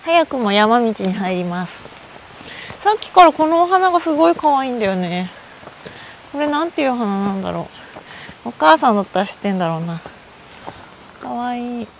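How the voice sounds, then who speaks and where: A woman's voice: fairly high pitch, expressive, moderate pace, long pauses, a little hiss or hum. A middle-aged Japanese woman chatting to herself as she hikes a mountain trail outdoors, recorded on a body-worn camera. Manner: chatty, talks to herself while walking uphill. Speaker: hiking vlogger. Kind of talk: casual solo hiking vlog talk.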